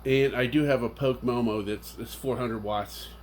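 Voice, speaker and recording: A man's voice: mid-range voice, steady tone, normal speed, a little hiss or hum. A man giving a casual, unscripted, rambling and technical review of his audio gear with enthusiasm.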